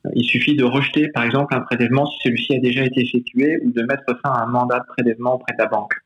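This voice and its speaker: A man's voice: medium pitch, flat delivery. A man speaking as a guest financial advisor from a remote connection, explaining step by step with frequent hesitations. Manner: measured, explanatory, many hesitations. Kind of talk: remote interview. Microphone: remote call. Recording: dull remote line with faint hiss.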